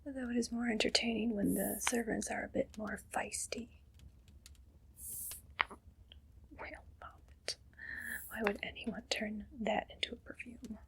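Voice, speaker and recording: A woman playing a haughty, condescending evil queen in a whispered ASMR roleplay, slow and disdainful, with a breathy voice. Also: a little high, little pitch movement, rough recording. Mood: haughty, cool.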